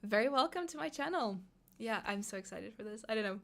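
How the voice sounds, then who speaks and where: A young woman, a native Russian speaker, chatting excitedly on a live stream and reading out chat questions. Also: on the high side, a little fast, gravelly. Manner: chatty, excitable, thinks aloud with fillers.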